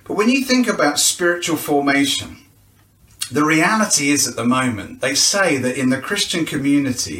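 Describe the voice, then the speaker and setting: A man's voice: a little high, a bit bright, recorded from a distance. A man giving an informal, chatty announcement for a church event, with rhetorical questions and a self-deprecating touch. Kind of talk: informal promotional video announcement. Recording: echoey, roomy sound.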